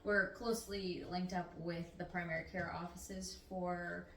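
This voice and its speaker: Middle-aged woman, lower voice, easygoing rambling answers, recorded from across an echoey room with faint hiss. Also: level tone, unhurried, fairly bright tone. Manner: easygoing, talkative, slightly meandering. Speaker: nurse practitioner interviewee. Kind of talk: casual interview answers. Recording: roomy, distant mic, faint noise.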